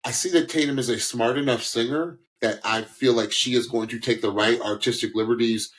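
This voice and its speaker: A man co-hosting a music podcast, giving casual, opinionated commentary on singers in a halting way. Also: mid-range voice, steady tone. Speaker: podcast co-host, singing critic.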